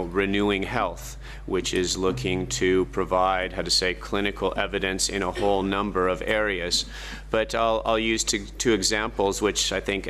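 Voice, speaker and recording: A very low-pitched man speaks as a Commission official at a seminar. He hesitates often and keeps reaching for the right phrase. A faint electrical hum sits under his voice. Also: a bit bright. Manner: hesitant, repeats "how to say". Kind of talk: seminar panel answer. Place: conference room.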